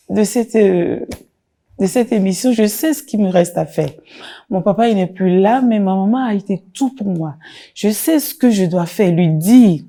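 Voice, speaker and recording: A woman in her forties, a jury member giving gentle, reflective feedback to a contestant. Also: mid-range voice. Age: 40s.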